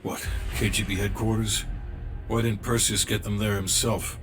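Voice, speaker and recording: A man's voice: lowish voice, level tone, moderate pace, over music, natural voice. A man acting a hard-nosed, sardonic intelligence handler in a cinematic spy game, curt in delivery. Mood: brusque. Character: blunt, cynical, hard-nosed male CIA handler.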